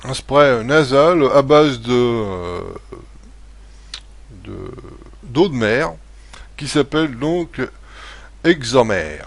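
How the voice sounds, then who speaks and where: A man's voice: medium pitch, expressive, drawn-out words, long pauses. Rambling casual man giving tips. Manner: rambling, hesitant, many fillers and restarts; blunt, swears casually. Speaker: vlogger. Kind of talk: casual home-remedy vlog.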